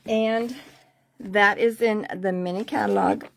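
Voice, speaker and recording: A woman's voice: medium pitch, steady tone, unhurried, vocal fry, slight background noise. A woman hosting a live card-making class in a casual, chatty, rambling way, repeating herself, her voice slightly worn as if she is losing it. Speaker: host of a card-making craft class livestream. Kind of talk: casual live tutorial chat with sales pitch.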